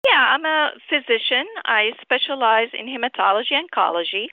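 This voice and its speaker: A middle-aged woman from Syria giving measured, articulate, formally phrased answers as an author and physician in an interview over the telephone. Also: fairly high pitch, animated, no room sound. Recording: tinny narrow phone line.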